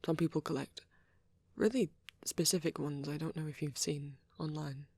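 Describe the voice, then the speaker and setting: A woman voicing a shy, nervous young male vampire in a soft ASMR roleplay, hesitant and stammering, with a breathy, husky voice. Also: low-pitched, steady tone, moderate pace. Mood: shy, explaining. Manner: hesitant, stammering, self-doubting. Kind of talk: ASMR boyfriend roleplay, improvised rambling.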